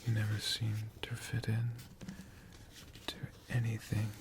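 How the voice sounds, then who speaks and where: A storyteller whispering a tale for ASMR in a breathy, hushed voice, with dramatic phrasing and long pauses. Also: mid-range voice, completely flat delivery, noisy background. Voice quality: breathy, mostly unvoiced whisper.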